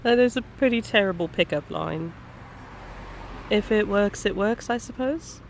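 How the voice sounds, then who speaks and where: A woman acts out a caring female private eye in a one-sided audio drama. She has a mid-pitched voice and a gentle, questioning, lightly playful way of talking. Clear background ambience runs behind her. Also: expressive, unhurried, slight background noise. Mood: playful, friendly. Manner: soft-hearted, hesitant, questioning, playful asides. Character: kind, concerned, gently teasing female private eye stranger. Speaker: performer of an audio roleplay. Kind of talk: intimate one-sided audio roleplay acting, conversational.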